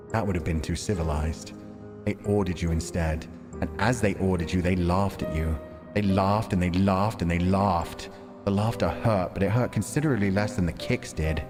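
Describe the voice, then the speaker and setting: Male game streamer, very low voice, storytelling read-aloud, music underneath. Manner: measured, deliberate storytelling delivery when reading. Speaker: livestreamer reading a visual novel aloud. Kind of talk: dramatic read-aloud narration with casual stream chat. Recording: long reverb, music behind.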